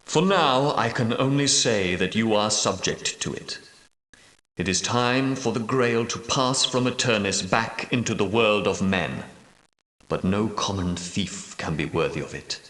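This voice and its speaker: An actor playing an ancient, solemn leader of holy knights in a video game, speaking gravely and formally, with portentous weight. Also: male voice, fairly low pitch, a little slow, a bit bright. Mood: stern, solemn. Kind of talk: video game dramatic dialogue. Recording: roomy echo, distant from mic.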